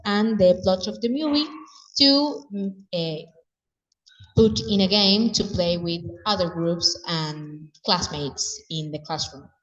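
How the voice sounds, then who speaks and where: Slow, halting woman presenting. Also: medium pitch. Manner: halting, many fillers. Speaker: teacher trainee presenting group work. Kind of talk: hesitant classroom presentation. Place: large classroom or hall. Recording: dull, echoey hall from far off.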